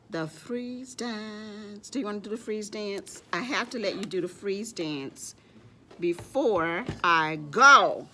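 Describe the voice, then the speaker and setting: Bright-voiced woman leading children's storytime, unhurried. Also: mid-range voice, a little hiss or hum. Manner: playful, encouraging, speaking simply to young children; repeats short phrases. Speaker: children's librarian hosting storytime.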